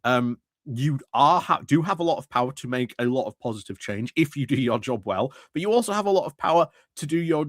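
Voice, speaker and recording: A man's voice: mid-range voice, lively intonation, brisk, hardly any pauses, no echo, studio quality. A man giving casual commentary on meeting clips, hesitant, with many fillers and restarts. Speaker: political commentary streamer.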